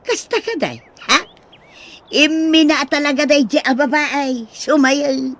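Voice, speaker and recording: A nosy village woman played by a radio actress, with a high voice. She gossips in Ilocano radio drama style, exclaiming in scandalized surprise. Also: unhurried. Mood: gossipy.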